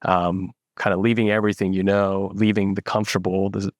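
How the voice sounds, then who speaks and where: Low-voiced founder man from the Philippines. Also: little pitch movement, brisk, no room sound, clean studio sound. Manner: reflective, many fillers and restarts. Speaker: startup founder. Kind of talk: casual interview.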